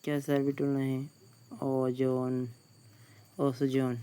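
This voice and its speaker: Slow, flat-voiced man reading quiz answers. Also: medium pitch, smooth. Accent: Bihari Hindi. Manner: slow, flat, repeats each question before answering. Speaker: exam tutor.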